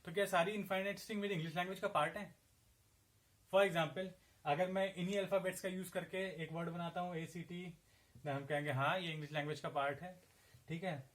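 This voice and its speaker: A man's voice: sits high, fairly even intonation, a little fast. A male assistant professor with an Indian accent giving a classroom lecture in Hindi and English, explaining step by step and asking and answering his own questions.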